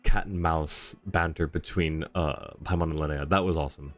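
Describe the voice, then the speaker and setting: A man's voice: extremely low pitch, steady tone, normal speed. A man giving casual, wry livestream commentary on a video game in a conversational, chuckling way. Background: faint game music.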